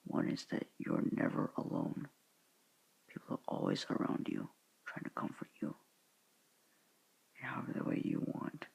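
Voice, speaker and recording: A teenage boy talking to his viewers in a soft, hushed, halting whisper, earnest and sorrowful in tone. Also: low-quality recording. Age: teens. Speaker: student vlogger. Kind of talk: whispered somber address to viewers.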